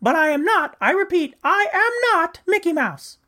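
A man putting on the voice of a squeaky, excitable male cartoon mouse with a high voice and a nervous laugh. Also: normal speed, exaggerated voice. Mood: insistent. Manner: bouncy, eager, insistent.

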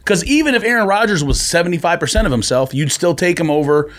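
A man's voice: on the high side, lively intonation, fast talker, fairly bright tone. A man co-hosting a casual sports podcast, arguing his points in slangy talk and often jumping in. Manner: argumentative, slangy, frequently interjecting.

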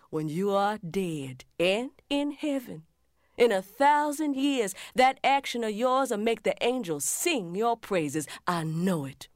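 An actress plays a dignified mother of a Civil War soldier in a dramatic letter reading. She speaks very deliberately at a slow pace, leaning on her words as she implores with firm conviction. The sound is dry, with little room around her. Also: mid-range voice, dry recording. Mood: pleading, resolute. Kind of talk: theatrical reading of a historical letter.

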